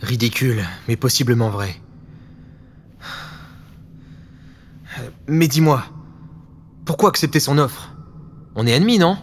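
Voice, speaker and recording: A man's voice: mid-range voice, very animated, moderate pace, close to an everyday voice. A man playing a wary, defiant secret service agent in a French audio drama, speaking tersely. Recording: faint background noise.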